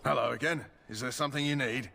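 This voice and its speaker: An actor playing a male army quartermaster in a fantasy game, briskly offering supplies. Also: medium pitch, gravelly, pushed and bright, slight background noise. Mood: businesslike. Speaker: game merchant.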